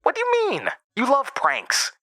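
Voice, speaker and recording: A man plays a fifteen-year-old boy prankster in an audio drama, eager and breezy. The voice is pitched up from an adult register and very bright, in a dry, close recording. He coaxes like someone always up for mischief. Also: huge pitch swings, measured pace, no echo, heavily acted. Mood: excited, coaxing. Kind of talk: acted dialogue within horror drama narration.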